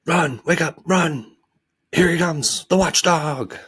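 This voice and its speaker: A man's voice: medium pitch, moderate pace, a bit bright, husky, no room sound, clean studio sound, pitched above the performer's own voice. A man reading a storybook aloud and voicing a band of lazy, sleepy idlers who loaf all day. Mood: panicked.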